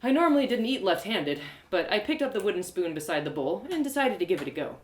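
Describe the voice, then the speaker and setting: A woman narrating a first-person story live, in an expressive, theatrical storytelling manner. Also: mid-range voice, fast talker, echoey room. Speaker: storyteller, audio drama creator.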